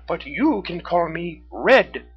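A narrator putting on a high-pitched proud raccoon. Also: big pitch swings, slow, highs cut off, a little hiss or hum. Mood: insistent. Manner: eager, direct, emphatic.

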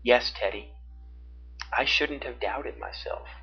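A storyteller voicing an excitable, timid little girl in a children's story, breathless and high. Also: takes it slowly, slight background noise. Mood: girlish. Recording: dull lo-fi.

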